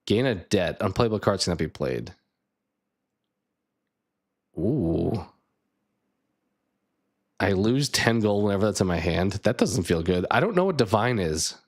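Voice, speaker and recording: A man streaming a card game live, thinking aloud and chatting with viewers, his voice a bit raspy. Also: fairly low pitch, sing-song, unhurried, long pauses. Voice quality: slightly raspy. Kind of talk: casual live gameplay chat.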